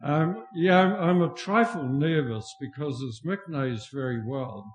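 An older man with a soft voice, opening a sermon with greetings in a slow, self-deprecating way. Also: fairly high pitch, soft background music. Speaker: guest preacher.

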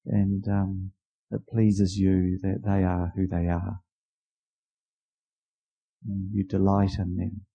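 Gravelly New church leader. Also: male voice, low voice, monotone, dark tone, lo-fi sound. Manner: informal and halting, many restarts. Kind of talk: church service welcome and prayer.

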